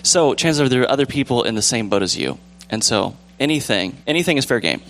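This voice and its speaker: A young man with a calm, relaxed voice leading a casual church discussion, rambling gently with fillers and restarts. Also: medium pitch, fast, gravelly, a little hiss or hum. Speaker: church group leader. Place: church sanctuary with pews.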